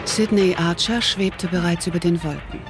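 A woman's voice: fairly low pitch, normal speed, over loud music. A woman reading scripted narration for a thriller audio drama.

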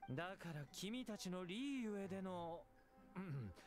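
An actor playing a confident young military commander in a video game, theatrical, breezy yet menacing. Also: male voice, high voice, lively intonation, fairly quick, soft background music. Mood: commanding. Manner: theatrical, speechifying. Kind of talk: Japanese video game voice acting.

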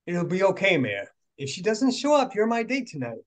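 A man's voice: sits high, wildly swooping pitch, moderate pace. A man playing a protective, reassuring brother with dry humor in a screenplay table read. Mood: reassuring, amused.

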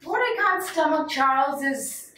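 A woman performs as a wounded, theatrical wife clinging to her marriage in a solo stage piece. She speaks slowly and emphatically with lively swoops, turning from wry jokes to pleading. The recording is roomy and a little far from the mic. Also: high voice. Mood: wistful, wounded. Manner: dramatic, emphatic, swinging between joking and pleading. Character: desperate, quirky wife refusing a divorce, wounded and theatrical. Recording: roomy, distant mic, faint noise.

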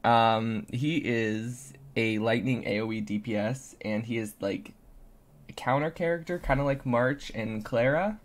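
An excitable content creator giving casual, gushing, slangy reaction commentary on a game. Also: medium pitch, a little slow. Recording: music behind the voice.

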